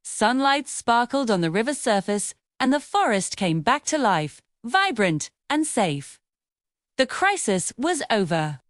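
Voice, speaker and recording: A woman narrating a scripted children's bedtime story in an expressive, dramatic storytelling manner. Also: a little high, measured pace, no room sound, studio quality.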